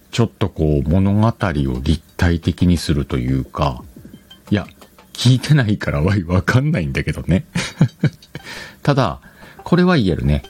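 A man's voice: sits low, darkish tone, husky. A man hosting a casual solo talk show, chatting in short, conversational phrases. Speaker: host of an audio talk show. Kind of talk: casual solo radio-style chat.

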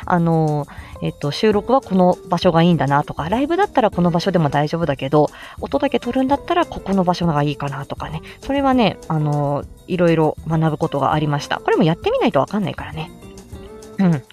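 A woman's voice: low-pitched, big pitch swings, fast, darkish tone, faint music behind. A woman hosting a casual livestream, chatting and giving encouraging tips in the manner of an amateur voice actor. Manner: chatty, encouraging, often chuckling.

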